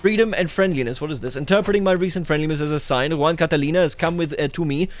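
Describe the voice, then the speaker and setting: A man's voice: fairly high pitch, fast talker. A man doing roleplay commentary over a strategy game, addressing its characters and himself with theatrical declarations. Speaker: gaming YouTuber.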